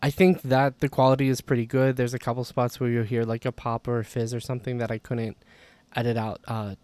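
A man's voice: mid-range voice, little pitch movement, brisk, darkish tone, no room sound. A man hosting a language podcast, chatting casually and rambling a little with fillers. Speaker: podcast host.

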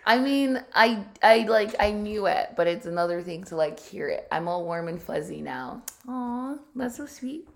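A woman's voice: mid-range voice, lively intonation, normal speed, vocal fry, few pauses. A woman in her twenties, born in Nigeria, co-hosting a podcast in casual chat, blunt and swearing, laughing often. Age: 20s. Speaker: podcast co-host.

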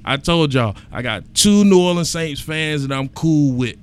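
A man hosts a casual sports podcast, talking in an animated, emphatic and colloquial way. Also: on the high side, normal speed, clean tone.

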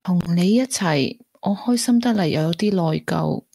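An actress playing a gentle, grieving young woman weighed down by guilt, in a Hong Kong Cantonese radio drama. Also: lowish voice, lively intonation, normal speed, vocal fry, breathy edge, no room sound, clean studio sound, full character voice. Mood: pained, torn.

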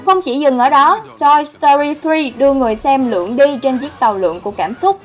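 Woman doing scripted countdown voiceover, pretty high pitched, bit of music behind her. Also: a little fast. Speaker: narrator of a film countdown channel. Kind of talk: scripted voice-over narration.

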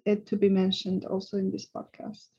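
A woman from Serbia hosting a podcast, speaking softly and asking reflective questions. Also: mid-range voice, completely flat delivery, moderate pace, breathy edge. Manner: soft, attentive, asks reflective questions. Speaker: podcast host. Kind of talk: casual interview chat. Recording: dull lo-fi sound.

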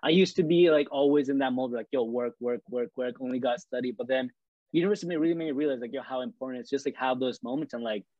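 A man's voice: medium pitch, fast talker, darkish tone, no echo, dull audio. A man in his twenties chatting casually about student life, rambling with many fillers. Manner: rambling, heavy use of "like". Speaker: student podcast co-host. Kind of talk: casual chat.

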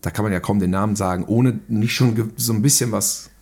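A man chatting casually about film as a podcast guest, retelling things in an animated way. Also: fairly low pitch, fairly quick, gravelly, slightly rough.

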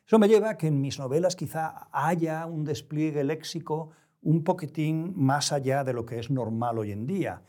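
A middle-aged man who is a writer, talking reflectively in an interview, choosing his words with pauses and restarts. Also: on the high side, mellow. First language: Spanish. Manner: measured, searching for words, frequent restarts. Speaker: novelist, interview guest.

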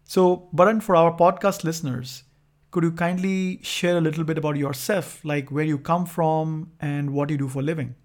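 Higher-voiced male podcast host, polite. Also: level tone, normal speed. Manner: polite, formal phrasing. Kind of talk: reading a guest introduction, asking questions.

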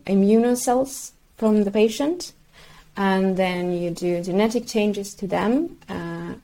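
A woman's voice: medium pitch, dark tone. A woman whose first language is Swedish, explaining medical research as an interview guest, hesitant and searching for words.

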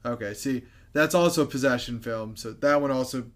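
A man's voice: mid-range voice, fairly quick, a bit bright. A man co-hosting a podcast, chatting casually about a movie, reading off credits and review scores with frequent laughs. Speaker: podcast co-host.

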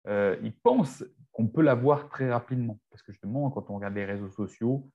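A man's voice: mid-range voice, brisk, muffled recording. A man in his forties, a fitness coach, speaking as a guest in a casual podcast chat, often restarting his sentences. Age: 40s. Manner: hesitant, many restarts and fillers.

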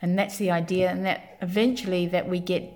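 A woman's voice: on the low side, fast. A woman raised in New Zealand, a podcast guest explaining her community work in an earnest, storytelling way with many restarts. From born in London, grew up in New Zealand. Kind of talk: conversational podcast interview.

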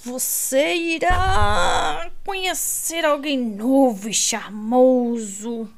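A woman's voice: gravelly, heavily acted. A woman voicing a young man who grumbles dryly and drawls a fake fortune-teller accent. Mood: dry, mumbling. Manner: low murmur, drawn-out, mock fortune-teller accent. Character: young man, dry and teasing companion.

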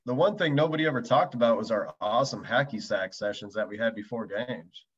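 A man's voice: medium pitch, fairly quick, breathy edge, highs cut off. A man from the US West Coast chatting casually as a podcast guest, reminiscing. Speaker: podcast guest, former baseball player.

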